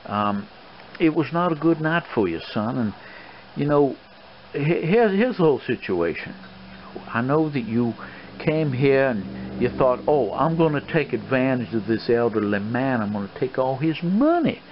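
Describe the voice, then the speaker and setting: A man's voice: medium pitch, sing-song, measured pace. A man playing an elderly colonel and swashbuckling gambler in an ASMR role-play, courtly and boastful, with a folksy, rambling, hesitant delivery. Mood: gently chiding. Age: older. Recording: dull lo-fi sound with clear background noise.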